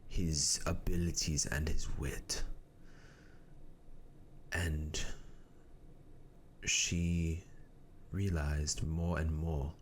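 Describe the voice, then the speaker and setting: A man narrating a scripted story in a measured way, often pausing mid-sentence. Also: a bass voice, little pitch movement. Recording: faint background noise.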